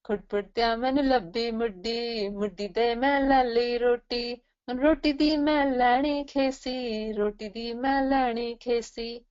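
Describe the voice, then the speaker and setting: A woman plays a clever little storybook mouse with a Punjabi accent, cheeky and bargaining. His high voice chants in sing-song rhyme. The sound is slightly dull. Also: very animated, normal speed, darkish tone. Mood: cheeky demanding.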